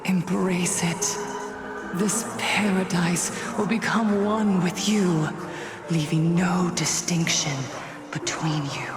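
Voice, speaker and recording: A woman playing an eerie, seductive masked prophetess in a video game cutscene, with a breathy, hypnotic delivery that often sinks toward a whisper. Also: lowish voice, fairly even intonation. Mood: hypnotic, coaxing. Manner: slow, hushed, hypnotic, savoring each phrase. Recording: voice over prominent music.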